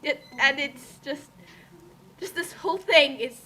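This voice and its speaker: A woman's voice: high voice, unhurried, bright, forceful tone, rough recording. A woman in a casual comedic group commentary, rambling with melodramatic exasperation and stammering. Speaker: co-host of a dramatic fan fiction reading.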